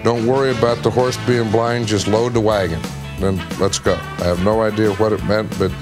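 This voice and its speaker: A man's voice: fairly low pitch, flat delivery, normal speed. A man telling an anecdote in an interview in a folksy, self-amused way. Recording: guitar music behind.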